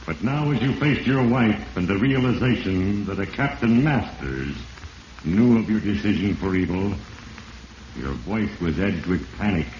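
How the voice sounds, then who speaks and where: Low, echoing voice of Fate. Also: male voice, lively intonation, a little mellow. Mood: ominous judgment. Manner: grave, deliberate, accusing second-person address. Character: Fate, solemn ominous spirit narrating a sinner's downfall. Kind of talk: 1940s radio mystery narration. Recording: muffled echoing vintage radio recording, hissy.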